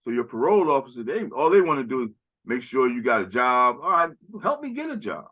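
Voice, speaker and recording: A man's voice: mid-range voice, big pitch swings, a little fast, highs cut off. A man speaking in a casual conversational chat as a podcast guest, rambling and often tagging "right?" and "you know".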